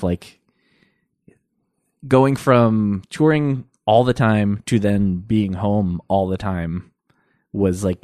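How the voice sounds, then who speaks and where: A man with a nasal voice, a podcast guest chatting casually, halting often and restarting his sentences. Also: on the low side, darkish tone, dry recording, studio quality. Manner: hesitant, halting with many restarts. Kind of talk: casual chat.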